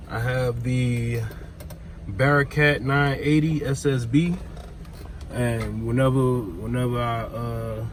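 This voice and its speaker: An American man with an informal, slangy way of talking, chatting casually as he demonstrates a hands-on job for a vlog. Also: mid-range voice. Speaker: vlogger demonstrating a DIY car install. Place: in or around a vehicle. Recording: clear background noise, vehicle ambience.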